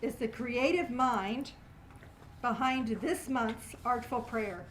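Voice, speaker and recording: Woman, event host introducing a show to a live audience, slightly high voice, faint background noise. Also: takes it slowly, fairly bright tone, poor audio.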